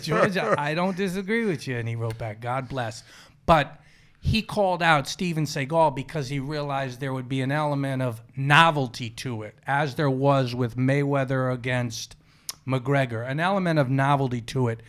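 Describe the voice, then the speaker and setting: High-pitched podcast host, a man. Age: 40s. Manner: opinionated, slangy, conversational storytelling. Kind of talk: casual sports podcast chat.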